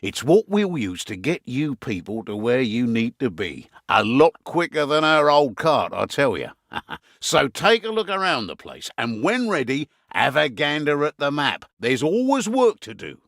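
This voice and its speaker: A gruff, middle-aged British innkeeper who runs a resistance from hiding. His delivery is lively and varied in pitch, with wry asides and a weary edge. It is a video game briefing, recorded very dry and close. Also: a little slow, dry recording. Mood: welcoming.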